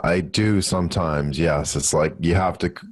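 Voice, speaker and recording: A Canadian man giving casual interview answers as a guest, talking haltingly and with good humour. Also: low voice, fairly even intonation, dry recording, clean studio sound. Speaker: podcast guest, business mentor and speaker.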